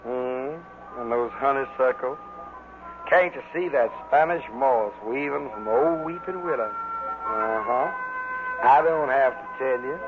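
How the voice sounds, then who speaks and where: A man talking as a radio disc jockey in a syrupy, mellow way. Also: medium pitch, slow pace. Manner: syrupy, mellow crooning talk. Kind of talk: radio music show patter. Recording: tinny vintage radio recording. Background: music.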